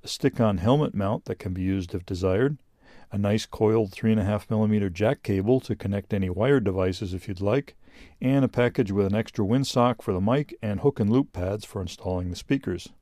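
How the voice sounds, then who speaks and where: Low, mellow man narrating a product video. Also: fairly quick, dry recording, clean studio sound.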